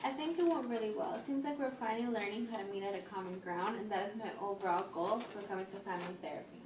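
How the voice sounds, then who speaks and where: A woman's voice: medium pitch, fast, close to an everyday voice. A woman playing a worried, strict single mother of a teenage son in an amateur role-play. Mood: conciliatory, hopeful. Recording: echoey room, distant mic, faint noise.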